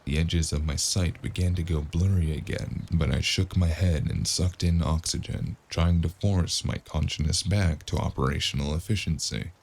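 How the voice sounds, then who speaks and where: A man with a gravelly voice narrating a cyberpunk noir audio drama in the first person, as a weary, wry gunman. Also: very deep voice, moderate pace, hardly any pauses, darkish tone. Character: weary, wry male cyberpunk gunman telling his own story. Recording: music under the voice.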